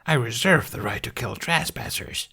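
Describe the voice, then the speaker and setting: Male reader as female dragon, regal, indignant, imperious, territorial, formal diction, lively storybook delivery. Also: medium pitch, moderate pace, creaky, husky, voice pushed up, heavily acted. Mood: regal, defensive.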